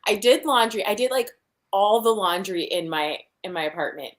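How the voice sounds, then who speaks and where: A woman with a medium-pitched voice talking informally among friends. She tells things with dramatic, mock-exasperated emphasis. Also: expressive, moderate pace. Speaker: participant in a group reading livestream. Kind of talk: casual chat.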